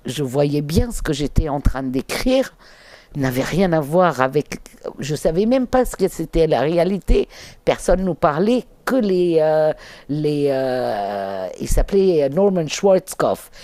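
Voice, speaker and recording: Woman, first language Finnish, middle-aged, halting with fillers, warm mellow tone, unhurried. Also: deep voice, dry recording. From England. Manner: hesitant, halting with many fillers. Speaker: interview guest, documentary filmmaker. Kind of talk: reflective interview storytelling.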